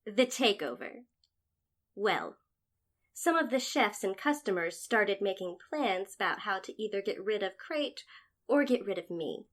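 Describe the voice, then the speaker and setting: A woman's voice: mid-range voice, lively intonation, a little slow, pauses often. A woman playing a tenacious house chef, speaking candidly as in a documentary interview in an audio drama. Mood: guarded.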